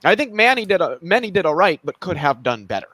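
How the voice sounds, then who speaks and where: A man's voice: high voice, sing-song, quick pace, pushed and bright, no room sound. Indignant, blunt man, dry close mic. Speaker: co-host of a debate review stream. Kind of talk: heated casual chat.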